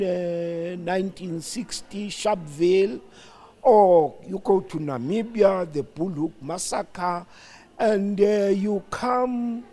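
An older South African man giving reflective interview answers in a deliberate, emphatic, explanatory manner. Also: high-pitched, dark tone. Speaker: studio guest, former radio broadcaster.

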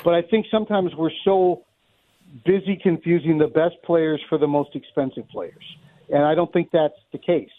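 A man's voice: a little high, moderate pace. A man giving conversational sports analysis as a baseball announcer being interviewed, wordy and repeating words as he thinks aloud. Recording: thin phone-like line.

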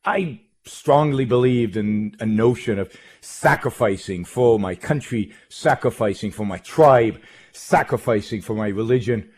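A man's voice: medium pitch, unhurried, a little mellow, husky. A man from Israel with an Israeli accent, speaking as a radio host about himself in an earnest monologue that repeats words as he builds his points. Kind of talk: personal introduction monologue.

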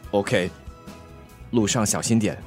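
A man's voice: lowish voice, slow pace, a little mellow, soft background music. Shy, hesitant Singaporean man. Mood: nervous, hopeful. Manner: hesitant, stammering. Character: shy, awkward man hoping to reconnect with a woman. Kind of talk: naturalistic Mandarin radio drama acting.

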